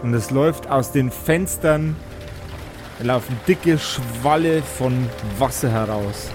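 A man's voice: medium pitch, slow pace, music in the background. A man narrating an improvised fantasy tabletop game as its game master.

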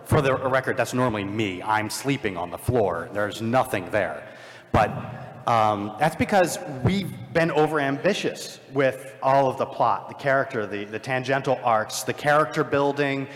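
A man's voice: medium pitch, animated, normal speed, a bit bright. A man on a live convention panel, talking in a rambling, self-deprecating way with many fillers. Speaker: panelist, filmmaker. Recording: long hall reverb.

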